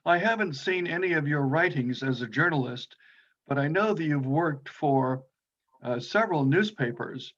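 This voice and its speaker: Measured male interviewer on dull audio. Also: a little high, lively intonation, a bit bright. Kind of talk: conversational interview questions.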